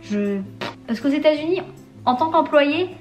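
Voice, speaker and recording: Young woman from France, casual slangy vlogger with a highish voice. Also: animated, a little slow, faint music behind. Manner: candid and chatty, with slang and frequent laughs. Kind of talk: casual confessional vlog.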